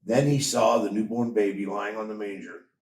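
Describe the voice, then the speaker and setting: A man reading a story aloud in a measured, expressive storytelling manner. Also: on the low side. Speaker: story reader. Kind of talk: scripted storybook narration. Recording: roomy echo, distant from mic.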